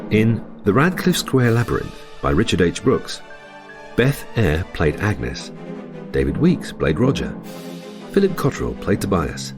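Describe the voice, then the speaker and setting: Lowish, lively male credits announcer. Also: measured pace. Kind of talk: scripted end credits announcement. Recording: loud music bed.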